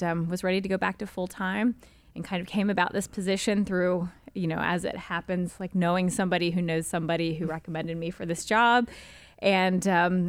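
Chatty low-voiced woman guest. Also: gravelly, dry recording. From Charlotte, North Carolina. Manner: chatty, many ums and restarts. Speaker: guest, executive director of a history group. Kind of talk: casual podcast chat.

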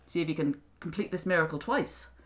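A woman's voice: low-pitched, fairly quick, close to an everyday voice. A woman voicing a suspicious, imperious king in a storybook tale, declaiming commands. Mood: commanding.

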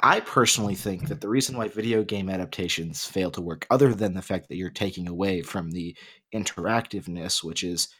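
A man's voice: deep voice, a little fast, pushed and bright. A man co-hosting a casual podcast discussion, arguing and explaining his points, his voice a bit off from being sick. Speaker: podcast co-host.

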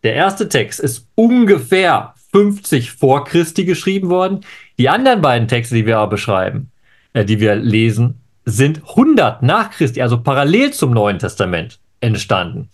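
A man's voice: mid-range voice, sing-song, normal speed. A man co-hosting a live scholarly discussion, eager, jumping in and correcting his partner. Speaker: co-host, Old Testament scholar.

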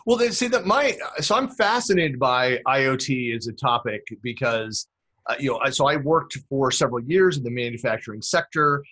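A man's voice: mid-range voice, moderate pace, sharp tone. A man hosting an interview podcast, chatty and rambling with many fillers. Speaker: podcast host.

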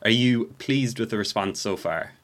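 A man's voice: fairly low pitch, animated, moderate pace, fairly bright tone, dry recording. An Irish man hosting a podcast in a casual, rambling way, hesitating and correcting himself as he goes. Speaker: podcast host. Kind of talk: casual interview podcast chat.

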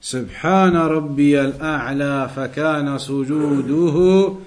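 Guy doing an islamic lesson, pretty high pitched voice, deliberate, repeats stuff to drive it home. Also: a little mellow. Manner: deliberate, repeats words and phrases for emphasis. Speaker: religious teacher. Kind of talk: Islamic lesson, reading Arabic texts aloud and explaining them in English.

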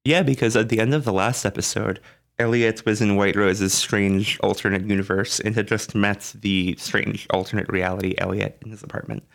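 A man co-hosting a podcast, hoarse from a flu, talking in a relaxed, thoughtful way with many pauses. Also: lowish voice. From Canada. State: hoarse, getting over a flu. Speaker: podcast co-host. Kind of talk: casual chat. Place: dark basement room.

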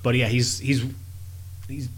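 A man co-hosting a casual podcast about horror movies, easygoing and rambling, swearing and filling pauses with "uh". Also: mid-range voice, level tone, slight background noise. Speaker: podcast co-host.

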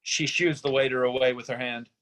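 A man's voice: mid-range voice, steady tone, fairly quick, fairly bright tone, a little hiss or hum. A man narrating, reading scripted stage directions from a screenplay.

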